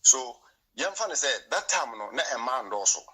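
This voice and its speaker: A Ghanaian-accented man whose first tongue is Twi argues his point in a heated talk. His voice is bright and husky. He stumbles, circles back and repeats words as he pushes on. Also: medium pitch, big pitch swings, rough recording. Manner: repetitive, halting, argumentative. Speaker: guest. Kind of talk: heated debate.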